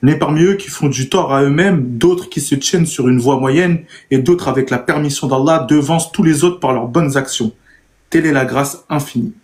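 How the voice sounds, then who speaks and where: A man moderating a live online debate, calmly managing turns and reading passages aloud. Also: mid-range voice, moderate pace. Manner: calm, brief interjections, plain reading.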